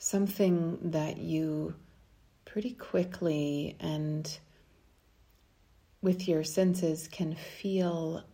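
Slow, low, creaky-voiced woman guiding meditation. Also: steady tone, dark tone. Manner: soothing, unhurried, with long pauses. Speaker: meditation guide. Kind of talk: guided meditation.

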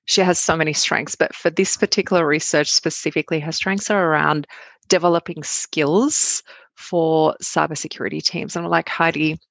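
Low gravelly-voiced woman guest. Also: moderate pace, no room sound. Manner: candid, animated storytelling with asides. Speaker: guest, cybersecurity researcher. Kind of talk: conversational interview answers.

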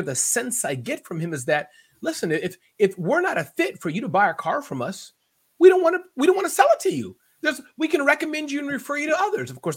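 A high-pitched man talks with big, lively swoops in his voice. He is energetic and loud, projecting like he is filling a room. He keeps the chat playful with jokey asides. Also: breathy edge. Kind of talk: casual conversation.

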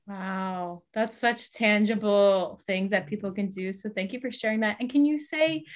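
A woman with a mid-pitched voice hosts a casual interview podcast. She responds to her guest in an effusive, appreciative manner and puts her questions conversationally. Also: normal speed.

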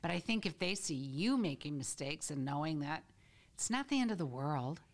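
Older woman, low-pitched voice, veteran teacher, reflective, podcast guest. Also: animated, moderate pace. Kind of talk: casual podcast interview.

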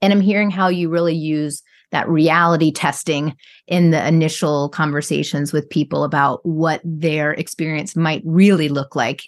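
A woman with a low voice and noticeable vocal fry hosts a reflective interview. She is affirming and careful in how she sums up and asks questions. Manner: affirming, carefully paraphrases the guest. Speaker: interview host.

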